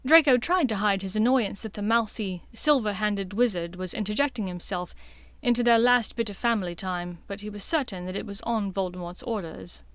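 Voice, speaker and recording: A woman's voice: mid-range voice, moderate pace, hardly any pauses, fairly bright tone, clear, resonant tone, no echo. A woman reading scripted fiction as an audiobook narrator.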